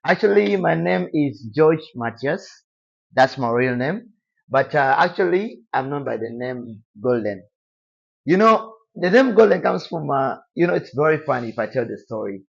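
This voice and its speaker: A young man from Tanzania with a Tanzanian accent, speaking softly and quietly as a guest in a casual interview. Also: a little high, very animated, moderate pace, gravelly, lo-fi sound. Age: young adult. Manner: soft-spoken, quiet.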